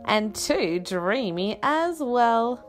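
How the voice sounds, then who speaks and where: A woman voicing an excitable, teasing youngster in a storybook, with emphatic, exclaiming delivery. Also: fairly high pitch, very slow, faint music behind, exaggerated voice. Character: excitable, teasing young friends of a shy girl. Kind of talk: storybook character voice.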